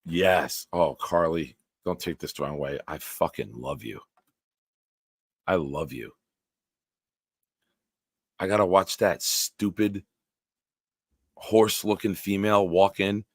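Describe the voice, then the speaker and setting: A man in his forties hosting a live-stream show, reading viewer comments aloud and bantering bluntly with a smooth, deep voice. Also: steady tone, takes it slowly, pauses often, dry recording, clean studio sound. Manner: banters with chat, blunt and profane.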